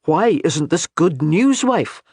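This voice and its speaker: A woman voicing a stern grown male clan laird, measured and warning, in a husky voice. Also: deep voice, very animated, dark tone, dry recording, clean studio sound, heavily acted.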